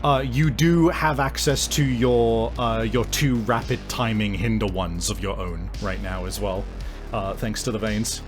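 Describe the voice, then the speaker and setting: A man's voice: lowish voice, fairly even intonation, unhurried. A man speaking casually and informally, unscripted and a little hesitant, as the host and game master of a show. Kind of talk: casual, unscripted podcast talk. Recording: music behind the voice. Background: background music.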